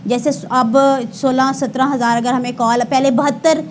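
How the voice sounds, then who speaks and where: A woman's voice: high voice, fairly even intonation, moderate pace, slight background noise. A woman with a Pakistani accent speaking as a service spokesperson, giving formal, explanatory interview answers with some hesitation and self-correction. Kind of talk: explanatory interview answers with statistics, Urdu mixed with English.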